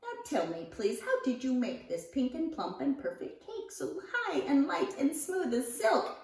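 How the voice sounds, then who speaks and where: Woman acting a high-voiced, gushing, delighted storybook mother. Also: big pitch swings, unhurried, a little mellow, full character voice. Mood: delighted surprise. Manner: exclaiming, gushing. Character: delighted, astonished mother of many children. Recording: roomy echo, distant microphone.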